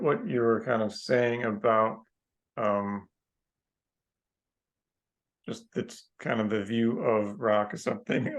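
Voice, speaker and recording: A man's voice: lowish voice, poor audio. A man on a podcast telling a casual anecdote in a hesitant, rambling, tentative way. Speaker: podcast participant.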